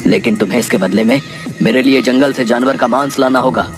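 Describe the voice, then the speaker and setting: A man's voice: mid-range voice, fairly quick, music in the background, natural voice. A man voicing a fearsome, gluttonous man-eating ogre in a children's story, bullying and gloating over food. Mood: menacing, commanding. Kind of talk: acted children's moral story.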